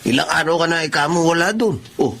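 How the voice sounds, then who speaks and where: A man's voice: on the high side, expressive, fast talker, gravelly, fairly bright tone, a little hiss or hum. A man playing a sly, chummy drinking buddy with a lecherous streak and a wheedling manner in a radio drama. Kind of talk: Tagalog radio drama acting.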